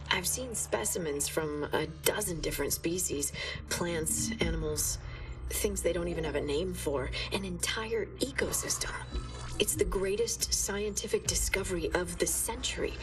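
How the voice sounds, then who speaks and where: Nervous scientist, low-ish hushed voice, far off mic, music going. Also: female voice. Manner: hushed, reflective journal narration. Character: isolated female scientist, uneasy and curious. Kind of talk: game audio log narration.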